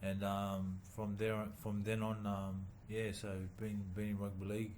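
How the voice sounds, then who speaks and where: A man from New Zealand, a boxer, answering interview questions haltingly with many pauses and fillers. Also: on the low side, monotone, slight background noise. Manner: halting, many ums and uhs, soft-spoken. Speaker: boxer and former rugby league player, guest. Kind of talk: casual interview.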